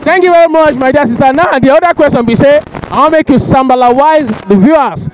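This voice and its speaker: A young man with a Nigerian Pidgin accent interviewing passersby on a busy street, lively and coaxing, on a handheld mic. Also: very high-pitched, fast talker, slight background noise, poor audio. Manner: lively, coaxing, hypes the viewers. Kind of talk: street vox pop in pidgin. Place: busy outdoor street market.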